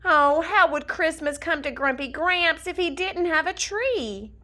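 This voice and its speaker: A woman reading a children's storybook aloud in an expressive, deliberate storytelling manner. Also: very high voice. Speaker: storybook reader. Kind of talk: scripted children's story narration, read aloud.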